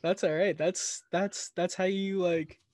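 A man's voice: high-pitched, steady tone, unhurried, darkish tone, no room sound. Rambling, encouraging male interviewer. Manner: rambling, encouraging, with laughs and filler. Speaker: interviewer host. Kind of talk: casual interview chat.

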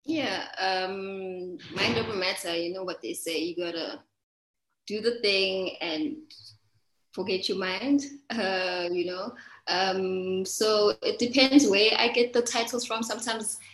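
A woman artist answering interview questions casually, speaking in animated, quick bursts. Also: mid-range voice. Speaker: artist guest. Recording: echoey room.

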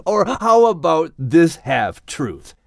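A menacing king cobra in an audiobook tale. The delivery is extremely slow and drawn out, swooping up and down as it gloats and taunts. The sound is dry and close. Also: on the high side, big pitch swings, vocal fry, no room sound, full character voice. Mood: gloating, sinister. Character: menacing, boastful male king cobra villain. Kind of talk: dramatized children's audiobook acting.

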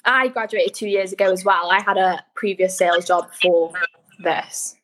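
A woman's voice: mid-range voice, steady tone, moderate pace, fairly bright tone, poor audio. A Welsh woman in her twenties, chatting as a guest on a remote interview, rambling with plenty of fillers. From Wales. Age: 20s. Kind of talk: casual interview chat.

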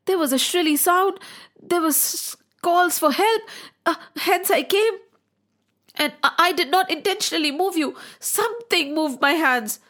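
Woman doing a humble king, pleading, rushed earnest apologies, high bright voice. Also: lively intonation, full character voice.

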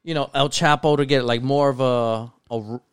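A man's voice: medium pitch, steady tone, a little fast, clean tone, dry recording, studio quality. An American man chatting casually as a podcast co-host about TV, opinionated, slangy and given to swearing. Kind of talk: casual chat about TV shows.

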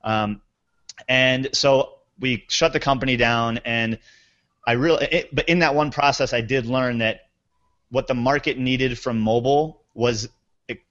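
A man's voice: mid-range voice, fairly even intonation, a little slow, fairly bright tone. A man guest on a podcast, telling his story in a candid, reflective way. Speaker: guest entrepreneur. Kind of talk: casual interview storytelling. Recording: muffled lo-fi audio.

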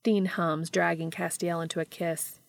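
A woman's voice: fairly low pitch, little pitch movement, normal speed, creaky, dry recording. A woman reading scripted fiction as an audiobook narrator.

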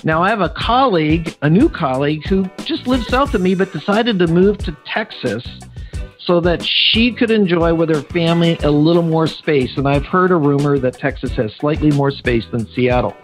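A man's voice: a little high, moderate pace, faint music behind, poor audio. A man hosting a podcast interview, chatty and playfully teasing his guest. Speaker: podcast host.

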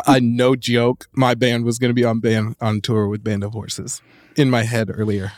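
A man co-hosting a podcast, joking in a laid-back, deadpan way in casual chat. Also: medium pitch, moderate pace, dry recording, studio quality. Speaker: podcast co-host.

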